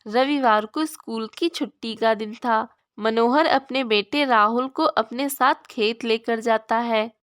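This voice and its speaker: A woman's voice: a little high, a little slow, smooth. A woman narrating a scripted moral story for children in storybook style.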